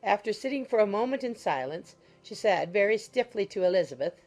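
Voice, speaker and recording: A woman's voice: medium pitch, lively intonation, fairly quick, darkish tone, soft background music. A woman reading scripted literary narration as an audiobook narrator.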